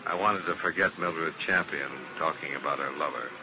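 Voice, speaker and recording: An actor playing a hard-boiled insurance investigator, narrating dryly in a 1950s radio drama. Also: male voice, very deep voice, normal speed, over music, rough recording. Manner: dry, matter-of-fact. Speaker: detective narrator.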